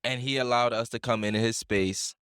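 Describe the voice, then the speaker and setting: A man's voice: medium pitch, fairly even intonation, a little fast, a bit bright, clean tone, no room sound, clean studio sound. A man hosting a casual podcast, talking in emphatic slang with frequent swearing. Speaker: podcast host. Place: indoor lounge smoke shop.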